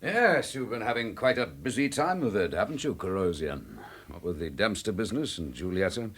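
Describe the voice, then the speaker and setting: An actor playing a sardonic, defiant police inspector held prisoner in a radio drama, firm and taunting. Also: male voice, fairly low pitch, very animated, normal speed, a bit bright, slightly rough, exaggerated voice.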